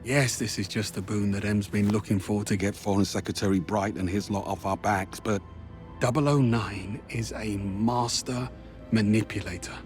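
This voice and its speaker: An actor playing a gruff, distrustful spy mentor in a video game, speaking sternly and bluntly. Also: male voice, lowish voice, normal speed, close to an everyday voice. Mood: grim, wary. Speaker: senior intelligence agent. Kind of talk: video game cutscene acting. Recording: music behind the voice.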